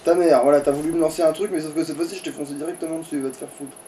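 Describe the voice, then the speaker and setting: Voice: medium pitch, steady tone, very fast, mellow, a little hiss or hum. A player commenting casually on their own game, grumbling and swearing as they go. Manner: foul-mouthed, grumbling. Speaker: let's play player and commentator. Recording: distant mic, music behind.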